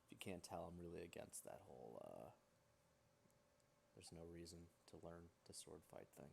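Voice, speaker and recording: A man's voice: sits low, lively intonation, normal speed, gravelly, a little hiss or hum. A man giving casual, rambling, joking commentary as he plays a video game. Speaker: gamer, let's play host.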